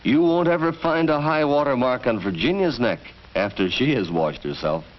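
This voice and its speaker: A man's voice: medium pitch, expressive, moderate pace. An American man narrating a 1940s educational film from a script, in an instructive, storytelling manner. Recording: dull vintage film soundtrack, faint noise.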